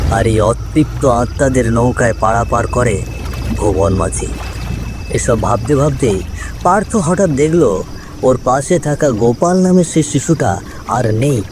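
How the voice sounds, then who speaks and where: Male ghost-story narrator over prominent music. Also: mid-range voice.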